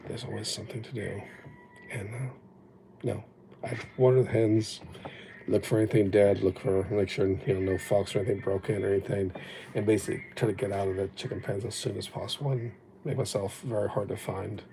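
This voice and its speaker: A man whose first language was Japanese rambles through a reflective livestream chat, now and then poking fun at himself. His low voice is mellow and gravelly. A faint hiss sits under the sound of an old phone mic. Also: flat delivery, brisk, poor audio. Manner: rambling, reflective, self-deprecating. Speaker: livestream host.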